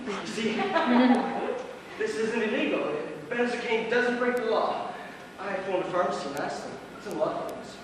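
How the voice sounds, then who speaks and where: A man plays a smug, pompous druggie who fancies himself a chemist, in a live stage play. His high voice explains everything pedantically. It comes from far off in a reverberant, noisy hall. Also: expressive, measured pace. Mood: self-important. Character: smug male drug user, pompous self-styled amateur chemist. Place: stage of a small theatre.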